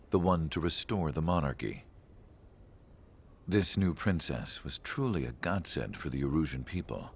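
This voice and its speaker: A man playing a calm, reflective narrator in a game cutscene, telling the story in a measured, wistful way. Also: extremely low pitch, slightly rough, heavily acted.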